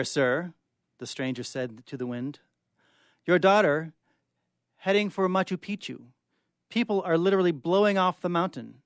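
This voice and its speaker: Male guest reader for short fiction, dramatic delivery, slightly high voice, dry studio sound. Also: no room sound. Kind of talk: dramatic short-story reading. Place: radio studio.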